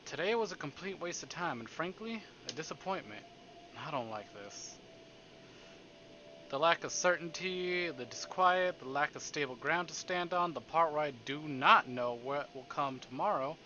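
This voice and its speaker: A man streaming a visual novel, reading it aloud theatrically and deliberately, with livelier asides. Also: a little high, expressive, bright, forceful tone, muffled recording, slight background noise. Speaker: game streamer. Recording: music behind the voice. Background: game background music.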